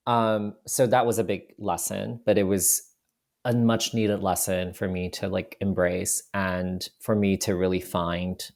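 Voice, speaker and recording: A man with a very low, gravelly voice settles into a reflective podcast chat. His first language is Cantonese, and his thoughts come out with searching pauses and small restarts. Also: level tone, darkish tone. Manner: thoughtful, frequent restarts and "you know". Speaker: podcast guest, photographer. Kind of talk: reflective casual conversation.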